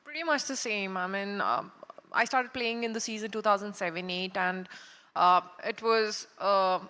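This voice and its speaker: A woman, a cricketer on a panel, speaking thoughtfully with hesitant pauses. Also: mid-range voice, pushed and bright. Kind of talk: panel discussion answer. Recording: reverberant hall.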